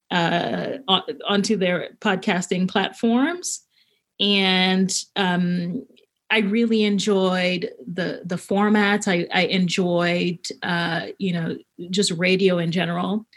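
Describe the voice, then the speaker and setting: A woman's voice: lowish voice, fairly even intonation, slow. A middle-aged woman from Haiti, a podcaster being interviewed, talking conversationally and with enthusiasm as she shares anecdotes.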